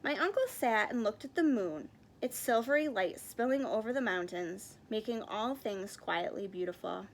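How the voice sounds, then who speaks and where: A woman reading a children's picture book aloud as an expressive storyteller. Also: on the high side, normal speed, hardly any pauses, slight background noise. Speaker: storybook reader.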